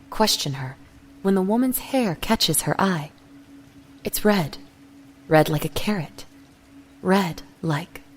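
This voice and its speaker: A woman's voice: fairly low pitch, a little hiss or hum. A woman narrator reading a scripted, dramatic story.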